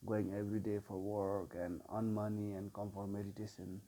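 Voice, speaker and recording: A man in his forties from Nepal with a Nepali accent, a sound healer telling his life story in an unhurried way, pausing often. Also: on the low side, flat delivery, dark tone. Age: 40s. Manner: unhurried, with frequent pauses and filler sounds. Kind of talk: personal life story told to camera.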